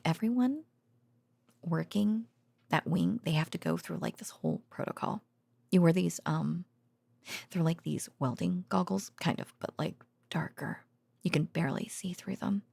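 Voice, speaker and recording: A gentle researcher girlfriend, acted by a woman talks softly in an intimate ASMR audio roleplay. Her voice is dark, mellow and husky, often slipping into a whisper. She speaks haltingly, very close and dry. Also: deep voice, dry recording, heavily acted. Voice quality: soft, breathy, often dropping to a whisper. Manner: hesitant, halting, murmuring. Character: gentle female researcher girlfriend murmuring secret work stories at bedtime.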